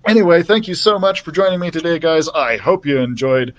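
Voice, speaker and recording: A man's voice: a little high, a little fast, muffled recording. A man reading a children's book aloud as a librarian storyteller, friendly and chatty, into a built-in laptop mic.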